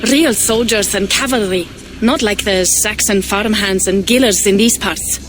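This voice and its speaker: A woman's voice: medium pitch, a little slow, over music, close to an everyday voice. An actress playing a proud Viking woman envoy, earnest and persuasive.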